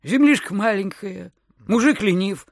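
A man playing a miserly, suspicious landowner in his sixties in an audio drama, with a querulous, husky, rambling delivery. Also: high voice, animated, measured pace, no echo, clean studio sound, full character voice. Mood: grumbling. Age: 60s. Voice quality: husky, throat-clearing old man's voice. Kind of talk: theatrical audio drama acting.

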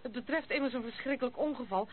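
An actress playing a proud, troubled young noblewoman in a Dutch radio play, guarded and defiant, with halting speech. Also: on the high side, lightly acted. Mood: bitter, defensive. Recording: noisy, thin phone-like old radio recording.

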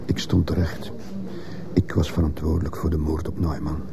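A man's voice: a bass voice, level tone, normal speed, gravelly, a crowd in the background. An actor playing a guilt-ridden schoolteacher who narrates his own story in a sombre, introspective way in a radio drama. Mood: brooding. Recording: noisy old radio play recording.

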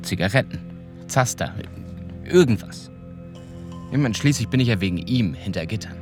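An actor playing a disillusioned small-town car mechanic and ex-convict, talking in a halting, colloquial, ruminative way in a radio drama. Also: male voice, fairly low pitch, lively intonation, music in the background, natural voice. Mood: bitter, resigned. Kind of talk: first-person radio drama monologue.

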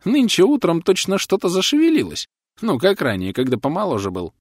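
Man acting out a high-pitched, cheeky old chatterbox. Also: huge pitch swings, brisk, a little mellow, no echo, clean studio sound, full character voice. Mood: excited, boastful. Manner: sly, exclamatory, folksy colloquial speech. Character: chatty old male patient, cheeky, boastful, bawdy.

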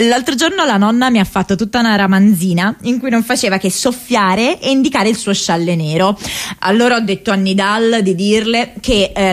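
A woman playing an earnest young activist in a radio play, speaking a letter home to her mother haltingly, searching for words. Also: medium pitch, lightly acted. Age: young adult. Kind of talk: dramatic monologue in radio theatre, reading a diary letter.

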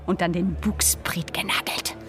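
Music plays behind a somewhat low-voiced woman played by an actress, a French-speaking bearer of grim pirate news. She talks gravely and emphatically, as in a radio play. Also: level tone, unhurried, lightly acted. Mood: grave, insistent. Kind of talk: German radio drama acting.

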